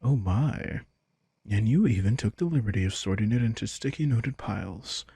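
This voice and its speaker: A gravelly, close and dry voice for a vampire king acted by a man in an intimate audio drama. He is a casual, manipulative charmer who talks in a low register. His manner is teasing and softly condescending, with a smug ease. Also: animated, normal speed, no room sound, heavily acted.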